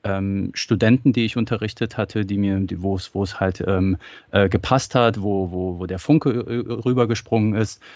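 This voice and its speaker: A man with a lowish voice talks as a podcast guest, halting often and restarting his sentences. The sound is dry and close, with a slightly dull, lo-fi tone. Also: steady tone, a little mellow, no room sound. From Germany. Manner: hesitant, many fillers and restarts. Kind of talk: casual interview chat.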